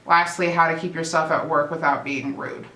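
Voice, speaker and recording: A young woman talking casually to camera as a vlogger, chatty and relaxed with fillers and restarts. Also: low voice, normal speed. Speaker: vlogger and nursing aide. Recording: echoey room.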